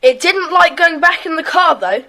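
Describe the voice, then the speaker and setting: Outspoken kid played by a woman, extremely high, bright voice, animated and loyal, for children's audio play. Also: moderate pace, heavily acted. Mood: eager. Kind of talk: children's audio drama acting.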